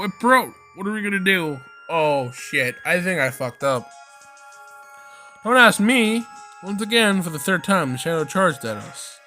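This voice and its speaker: A man with a fairly high voice streams a story game. He reads the narration aloud and reacts in exclamatory, slangy asides. Music plays clearly behind his voice. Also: bright, forceful tone. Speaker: let's play streamer. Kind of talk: visual novel let's play with read-aloud narration and reactions. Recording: prominent background music.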